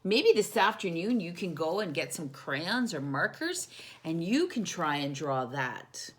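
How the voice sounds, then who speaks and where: A woman's voice: on the low side, big pitch swings, measured pace. A woman hosting a children's storytime, reading a picture book expressively and putting simple questions and explanations to young listeners.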